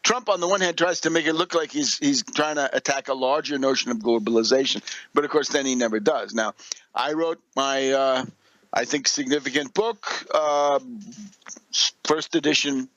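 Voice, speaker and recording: A man's voice: medium pitch, moderate pace, fairly bright tone, no echo, poor audio. An older American man giving unscripted political radio commentary, lecturing emphatically through his points and checking in with short tag questions. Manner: emphatic, didactic, often tags points with "right?".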